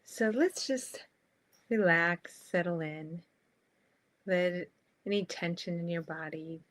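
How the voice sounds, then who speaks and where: Very slow, low-voiced woman guiding meditation. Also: no room sound. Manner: soft, unhurried, with long pauses between short phrases. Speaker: meditation guide. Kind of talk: guided meditation, calm and spacious.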